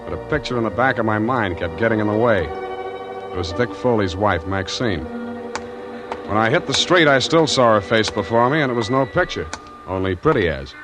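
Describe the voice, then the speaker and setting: A man's voice: fairly low pitch, lively intonation, moderate pace, close to an everyday voice. An actor playing a hard-boiled male private eye in a 1940s radio drama, with dry, wisecracking delivery. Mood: narrating. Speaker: private detective and narrator. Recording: old radio broadcast with music.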